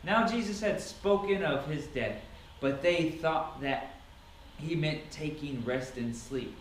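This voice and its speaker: A man with a fairly high voice reads scripture aloud as drama, stressing phrases theatrically. The recording is roomy and echoing, with audible background noise. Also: slow pace. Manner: theatrical, emphatic delivery. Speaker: Bible reader. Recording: echoey room, distant mic, noisy.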